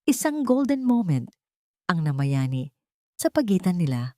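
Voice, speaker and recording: A woman's voice: fairly low pitch, sing-song, measured pace, dark tone, no room sound, studio quality. A woman with a Filipino accent narrating a dramatic audiobook story from a script.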